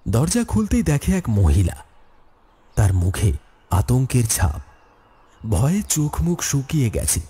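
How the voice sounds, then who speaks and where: A man narrating a scripted horror story in an expressive storytelling manner. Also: medium pitch, a little slow, long pauses, breathy edge. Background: music.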